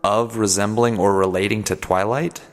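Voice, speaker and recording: A man's voice: on the low side, fairly even intonation, moderate pace, big-room sound, close to an everyday voice. A man playing an awkward, apologetic podcast interviewer, stammering politely through an improvised comedy radio play. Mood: deadpan, correcting. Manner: hesitant, stammering, politely probing. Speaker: host interviewer.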